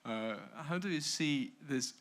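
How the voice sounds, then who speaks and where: A man's voice: a little high, lively intonation, measured pace, a bit bright. A man moderating a panel, putting unscripted questions hesitantly and restarting them several times.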